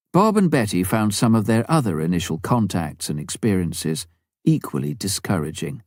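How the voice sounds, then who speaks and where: Lowish male narrator with very animated pitch. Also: no echo, clean studio sound. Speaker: audiobook reader. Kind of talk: scripted narration of a memoir.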